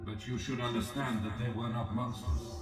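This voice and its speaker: An actor playing an ancient, regal god in a fantasy video game, speaking in a grave, measured, formal and aloof manner. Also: male voice, mid-range voice, steady tone, lightly acted. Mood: stately, instructive. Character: ancient, solemn, regal dark god recounting his fallen empire. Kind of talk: scripted game dialogue, slow formal monologue. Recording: roomy echoing voice, distant, music behind.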